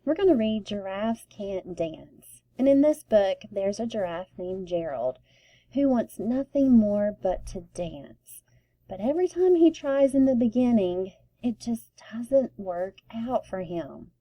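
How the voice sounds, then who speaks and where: A woman storyteller giving an expressive read-aloud of a children's book with deliberate, emphatic phrasing. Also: mid-range voice, no room sound, poor audio.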